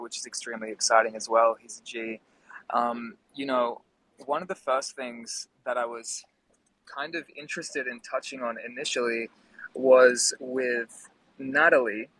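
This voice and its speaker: A man's voice: medium pitch, a bit bright, poor audio. A man hosting a casual live discussion, talking in a friendly, appreciative way. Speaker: host.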